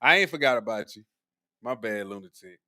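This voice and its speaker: A man of about forty hosting a casual livestream chat, relaxed and slangy, with lots of "mm-hmm" and easy laughs. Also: medium pitch, fairly quick, dry recording, clean studio sound. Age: 40s. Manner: laid-back slang, frequent "mm-hmm" and laughs. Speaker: livestream host.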